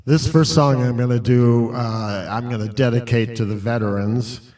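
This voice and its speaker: A man's voice: mid-range voice, fairly even intonation, normal speed, darkish tone, echoey room, distant mic. A man, a guest musician, casually introducing the song he is about to play. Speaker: guest musician, singer-guitarist.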